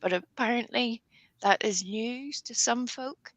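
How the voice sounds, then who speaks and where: A woman's voice: fairly high pitch, unhurried, a bit bright. A woman, a panelist in a casual chat, who makes wry asides and chuckles. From Northern Ireland.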